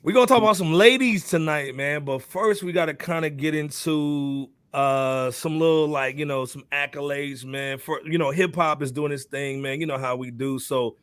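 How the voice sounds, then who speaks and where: A man with a fairly high speaking voice hosts a casual hip hop podcast, easygoing and chatty. He tags "man" onto nearly every phrase. The sound is dry and close, with no room echo. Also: moderate pace, hardly any pauses, a bit bright, clean tone. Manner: laid-back, says "man" after most phrases. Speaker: podcast host.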